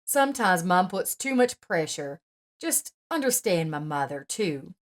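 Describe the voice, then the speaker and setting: A woman voicing a young husband who speaks in a gentle, placating way. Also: lowish voice, very animated, a little slow. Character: young husband, soothing and apologetic.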